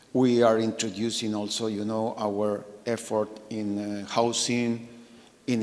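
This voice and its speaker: A man with a Latin American Spanish accent, speaking haltingly as a banking panelist and often confirming his own phrases. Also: fairly low pitch. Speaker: bank board president, panelist. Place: conference hall. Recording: echoey hall with long reverb.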